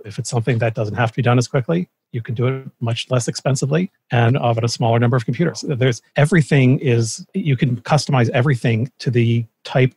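Husky, quick-talking man. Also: mid-range voice, darkish tone, no room sound. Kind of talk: conversational explanation.

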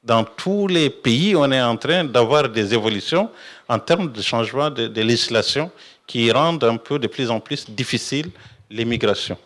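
A man speaking as a panelist, halting and repeating words. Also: mid-range voice, animated, fairly bright tone. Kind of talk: panel discussion.

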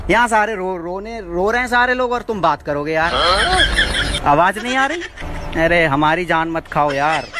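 A man's voice: lively intonation, moderate pace. A young man making an insistent, exasperated comic phone call as a prank, repeating himself. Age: young adult. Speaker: prankster faking phone calls. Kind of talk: comic staged phone conversation. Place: inside a busy metro train. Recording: very noisy train, rumble and clicks.

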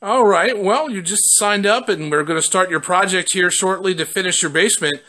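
Bright-voiced man interviewing a customer. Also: high voice, normal speed. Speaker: interviewer and company representative. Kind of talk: promotional testimonial interview. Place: unfinished basement, off camera.

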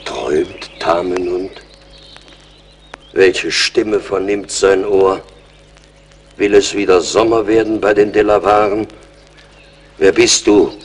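An actor playing a venerable, weary old Delaware chief in a German radio play, speaking in solemn, ceremonious oratory. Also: male voice, deep voice, steady tone, slow, long pauses, breathy edge, heavily acted. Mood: wistful, wondering. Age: older. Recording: faint background noise.

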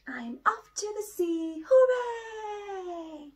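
A woman's voice: very high voice, big pitch swings, slow, fairly bright tone, a little hiss or hum, pitched above the performer's own voice, exaggerated voice. A woman doing an eager little bear cub. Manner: eager, pleading.

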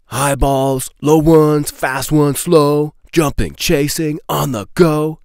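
A man in his thirties reading a children's storybook aloud with playful, expressive emphasis. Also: fairly high pitch, slow, gravelly, no room sound, studio quality. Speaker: read-aloud host.

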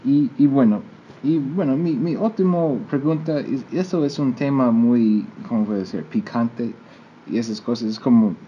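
A man's voice: mid-range voice, muffled recording. Male host, Spanish, fumbling, filler-heavy, noisy patio sound. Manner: halting, searching for words, many fillers. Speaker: interviewer and channel host. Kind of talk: casual street interview. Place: outdoors on a patio. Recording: background noise.

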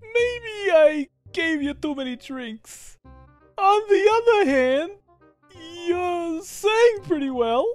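A woman voicing a bubbly, teasing younger skeleton brother, playful and excitable, for a comic dub. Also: very high-pitched, slow, soft background music, heavily acted. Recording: faint background noise.

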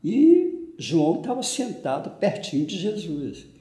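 A man's voice: sits high, sing-song, a little slow, warm tone. An older man with a Brazilian Portuguese accent, a parish priest giving a conversational homily, often adding "né" as he talks. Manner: conversational, frequent "né" tag questions, gestures with hands. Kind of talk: homily on the gospel. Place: indoors at home, small room. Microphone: fixed camera at medium distance. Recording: echoey room.

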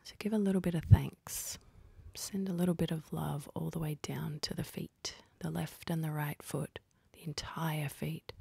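A woman leading a guided meditation in a soft, husky, breathy voice, speaking calmly and gently with unhurried pauses. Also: low-pitched, no room sound. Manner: calm, gentle, unhurried instructing with long pauses.

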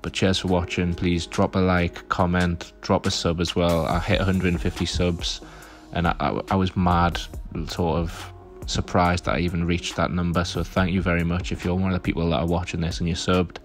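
Low, flat-voiced young man. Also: moderate pace, soft background music. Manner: rambling, chatty, lots of fillers. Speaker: car vlogger. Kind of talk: casual voice-over vlog.

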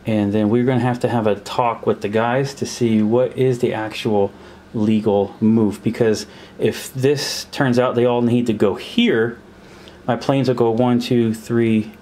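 A man's voice: medium pitch, fairly even intonation, a little mellow, a little hiss or hum. Man thinking aloud over a board game. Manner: counting pieces aloud, pausing to puzzle over rules. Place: indoors at a table.

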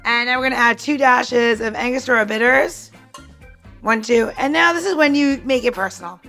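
A woman's voice: fairly high pitch, normal speed, pushed and bright, faint music behind. A woman co-hosting a podcast as bartender, casually talking listeners through a cocktail demonstration in a chatty way. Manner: chatty, talks the listener through each step.

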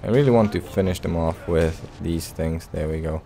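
A man's voice: deep voice, moderate pace, dark tone. A man giving casual, laid-back gameplay commentary in short phrases as he plays. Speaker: let's play host.

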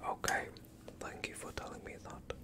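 A young man in a whispered ASMR doctor roleplay, speaking calmly and soothingly in a breathy, unvoiced whisper close to the microphone. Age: young adult. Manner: calm, soothing, unhurried questioning. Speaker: ASMR performer playing a doctor.

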